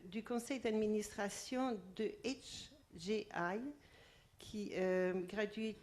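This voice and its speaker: A woman's voice: medium pitch, darkish tone. A woman moderating an event and introducing the speakers, stumbling and restarting her sentences often. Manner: hesitant, with many restarts.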